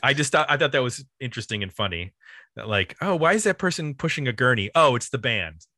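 A man's voice: medium pitch, animated, fast, bright, forceful tone, no echo, clean studio sound. A man hosting a podcast, talking casually about music in a rambling, enthusiastic way. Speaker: podcast host.

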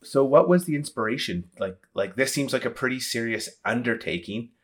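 A man's voice: medium pitch, animated, fairly quick. A man hosting an interview in a casual, conversational style. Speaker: interview host.

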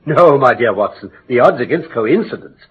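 An actor playing a brilliant, commanding English detective in classic radio drama, speaking with precise, formal, emphatic diction. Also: male voice, mid-range voice, lively intonation, normal speed, slight background noise, close to an everyday voice. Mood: keen, emphatic. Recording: muffled old radio broadcast.

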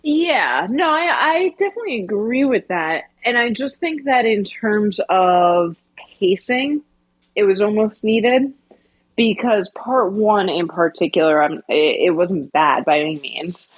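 Husky-voiced woman on a dull, dry line. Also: mid-range voice, lively intonation, a little slow, no room sound. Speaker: podcast co-host. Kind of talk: casual discussion of a book.

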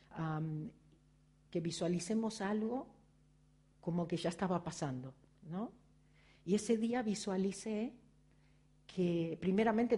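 A woman's voice: lowish voice, dark tone. A middle-aged woman with an Argentine accent giving a reflective, personal motivational talk, often turning to the audience with rhetorical questions. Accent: Argentine Spanish. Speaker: spiritual speaker.

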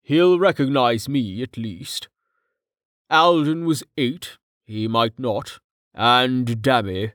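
A man's voice: medium pitch, takes it slowly, fairly bright tone, dry recording, clean studio sound. A man voicing a wary, troubled family man in a fantasy audiobook, speaking in a hesitant, brooding manner. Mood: anxious, sorrowful.

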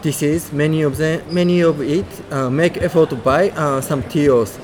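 A man with a Japanese accent and a fairly high voice speaks halting, simple English, pausing often to search for words. He is a guest being interviewed at an event venue, with clear background noise behind him. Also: fairly even intonation, a little mellow. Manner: halting, simple English with many pauses and fillers. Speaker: guest, tournament judge. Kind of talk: casual interview answers.

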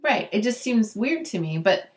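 A woman's voice: mid-range voice, big pitch swings, normal speed, distant mic. A tired middle-aged woman, a mother, co-hosting a podcast in casual chat, rambling with lots of "like" and "um". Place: same room as co-host, home recording.

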